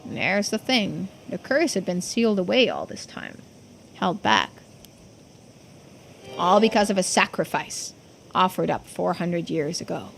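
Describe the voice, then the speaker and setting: A woman's voice: fairly low pitch, sing-song, measured pace, vocal fry, a little hiss or hum, full character voice. A woman voicing a folksy, earnest islander who explains old secrets in video game dialogue. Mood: grave.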